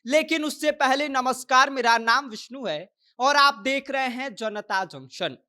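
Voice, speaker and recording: A woman's voice: high-pitched, a little slow, bright, forceful tone. A woman presenting a news explainer in a scripted reporting style.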